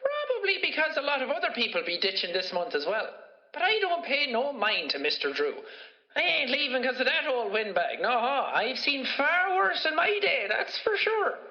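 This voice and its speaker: Scrappy toy maker, high, lively, folksy and defiant; dull echoey game tape. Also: male voice, normal speed, exaggerated voice. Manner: folksy, animated. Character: cheery, scrappy working-class male toy maker, defiant. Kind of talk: audio log in a cartoon horror video game.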